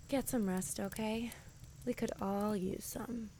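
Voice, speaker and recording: A young woman playing a worried, caring American high school girl in an audio drama, casual and tentative. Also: background noise. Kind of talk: naturalistic audio drama acting.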